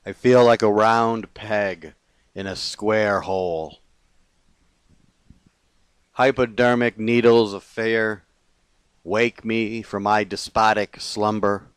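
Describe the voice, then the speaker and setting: Slow, low-voiced man reading poetry. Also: clean tone, no room sound. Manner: deliberate phrasing with pauses between short lines. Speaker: poet. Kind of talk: poetry reading of own confessional verse.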